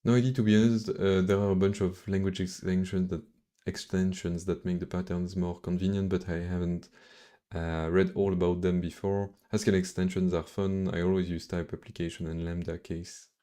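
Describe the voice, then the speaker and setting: A man's voice: low-pitched, level tone, normal speed, dark tone. A man with a French accent, a native French speaker, thinking aloud in casual, hesitant mutters as he live-streams his programming.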